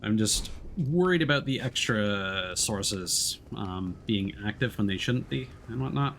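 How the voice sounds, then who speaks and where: A man's voice: on the low side, lively intonation, measured pace, vocal fry, a bit bright, slight background noise. A man livestreaming a video game, chatting casually and in a friendly way with his viewers. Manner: friendly, addresses chat viewers by name. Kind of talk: casual chatty stream talk while playing.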